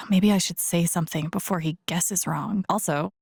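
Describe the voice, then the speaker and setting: Young woman game heroine, muttering to herself, kinda wry, fry in her voice, super dry close sound. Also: fairly low pitch, normal speed, darkish tone, dry recording. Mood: playfully suspicious. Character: young woman game protagonist, wry, empathetic, thinking aloud. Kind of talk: understated video game voice acting, interior monologue.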